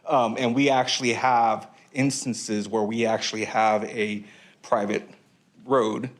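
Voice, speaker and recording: A man's voice: fairly low pitch, fairly even intonation, measured pace. A man giving public comment at a council meeting, hesitant, with frequent ums and restarts.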